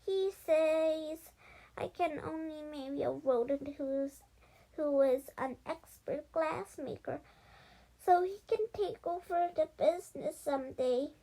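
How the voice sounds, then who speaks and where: A woman's voice: sits high, slow, dark tone, voice pushed up, low-quality recording. A woman voicing a young female storybook mouse who is lovesick, melodramatic and pleading in a blubbering way. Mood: upset, indignant.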